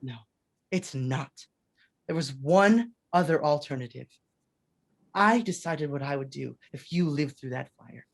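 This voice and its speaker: A haunted man on the run, acted in a radio play, anguished and halting. The delivery is desperate 1940s melodrama from someone doubting his own sanity. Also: medium pitch, lively intonation, vocal fry. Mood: grimly defiant.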